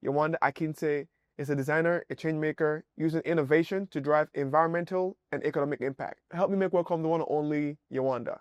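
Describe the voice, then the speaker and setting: A male podcast host with a fairly high voice. He introduces the show and his guest with upbeat, emphatic energy. The recording is close and dry, with no room sound. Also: fairly even intonation, a little fast, hardly any pauses, smooth, no echo, dull audio. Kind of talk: enthusiastic show intro and interviewing.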